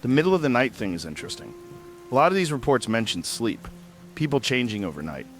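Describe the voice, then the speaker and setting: A man's voice: mid-range voice, big pitch swings, quick pace, soft background music, lightly acted. A man acting a curious, skeptical talk show host in an audio drama, probing in a conversational way.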